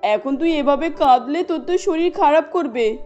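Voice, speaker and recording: A woman's voice: high-pitched, expressive, normal speed, darkish tone, muffled recording, soft background music. A woman voicing a pious, sorrowful middle-aged village mother in a cartoon story, consoling. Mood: soothing, sorrowful.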